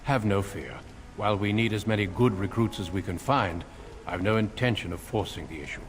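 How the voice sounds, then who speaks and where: An actor playing a solemn, courteous warrior in a fantasy game, with a grave, measured and formal delivery. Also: male voice, fairly low pitch, close to an everyday voice. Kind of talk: scripted fantasy game narration and dialogue.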